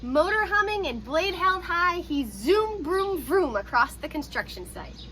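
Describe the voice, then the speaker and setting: Animated high-voiced woman reading a children's story. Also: measured pace, slight background noise. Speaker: read-aloud storyteller. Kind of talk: expressive children's story narration.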